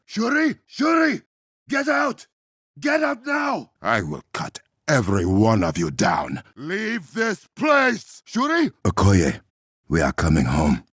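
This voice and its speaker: An actor playing a proud, resolute warrior king in a video game, with an African accent and formal, measured, regal diction. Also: sits low, husky, no echo, clean studio sound, full character voice. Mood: shouting, fierce. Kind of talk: dramatic video game voice acting.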